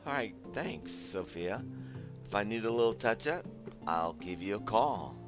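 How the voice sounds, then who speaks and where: A man's voice: fairly low pitch, expressive, measured pace, soft background music. A man playing an easygoing, bashful young protagonist in a visual novel game, talking casually and hesitantly. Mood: friendly. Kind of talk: visual novel game voice acting with inner narration.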